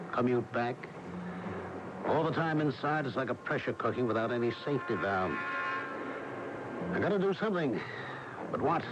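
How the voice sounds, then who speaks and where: A man's voice: medium pitch, natural voice. Man acting a tightly wound, weary American stockbroker. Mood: brooding. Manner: wry, clipped, colloquial. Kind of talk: radio drama acting and first-person narration. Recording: noisy muffled AM radio airing.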